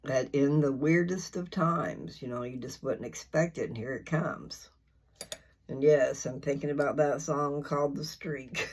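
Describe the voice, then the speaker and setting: An older speaker with a husky, unpolished voice talks at a very unhurried pace. The delivery is folksy and informal, wandering through casual chat and pausing to hunt for the next word. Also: medium pitch. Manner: folksy, informal, meandering speech; halting, searching for words. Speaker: host of a scripture reading channel. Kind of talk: rambling casual chat before a reading.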